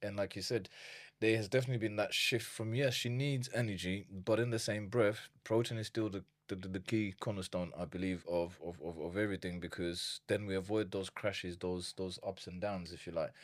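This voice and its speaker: A man in his thirties from Zimbabwe, a nutrition coach being interviewed in casual chat, animated and often repeating words while he thinks. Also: deep voice, fairly quick, no echo. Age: 30s.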